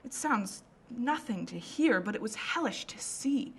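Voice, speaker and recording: A woman's voice: fairly high pitch, lively intonation, measured pace, very noisy. A woman playing a well-known Victorian lady about town, recounting events dramatically in a radio drama. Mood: indignant, dramatic. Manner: dramatic storytelling, emphatic.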